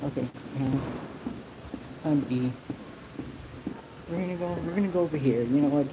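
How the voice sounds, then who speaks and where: A slightly high-voiced man talks into a handheld phone as he walks outside, rambling, cursing and breaking off mid-sentence. Steady outdoor noise runs under the phone audio, and his voice sits a touch above the usual male range. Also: moderate pace. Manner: rambling, profane, restarts often. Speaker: vlogger. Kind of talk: casual walking vlog. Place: outdoors on a resort path. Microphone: phone camera held while walking.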